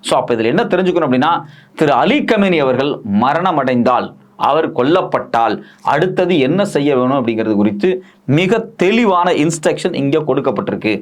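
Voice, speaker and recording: A man with an Indian Tamil accent delivers political news commentary in a fairly high-pitched voice. He explains point by point in an emphatic way, repeating key phrases and posing questions that he then answers himself. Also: animated, brisk.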